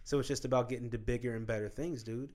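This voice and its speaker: A man in his late twenties hosts a casual chat, talking fast and with animation. He swears freely, keeps his voice at a middle pitch, and punctuates his points with "right?". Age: 20s. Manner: animated, profane, lecturing with "right?" tags.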